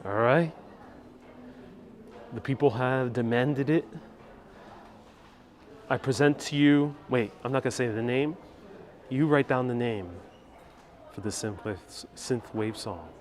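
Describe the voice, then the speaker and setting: A man hosts a live stream outdoors, talking at an ordinary middle pitch into a small clip-on mic. He is chatty and upbeat, and he thanks his viewers by name as he reads out their comments. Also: takes it slowly, long pauses, darkish tone. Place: outdoors in a city at sunset. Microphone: wireless clip-on mic.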